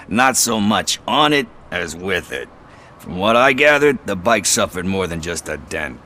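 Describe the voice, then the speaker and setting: A scruffy, streetwise informer in a game drama. His voice sits a little low but has a bright, forward edge. He is chatty and sardonic, tossing out slang with a wry, casual manner. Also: big pitch swings, takes it slowly, a little hiss or hum, full character voice. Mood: confiding. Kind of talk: video game dialogue acting.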